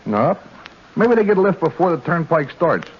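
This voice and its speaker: An actor with an American accent playing a folksy, plain-spoken country gas station attendant in a 1940s radio drama, chatty and colloquial. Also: male voice, on the high side, big pitch swings, normal speed. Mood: incredulous. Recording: noisy, muffled 1940s radio recording.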